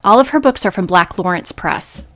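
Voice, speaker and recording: A woman's voice: mid-range voice, animated, moderate pace, fairly bright tone. A woman hosting a radio program, reading scripted introductions and announcements.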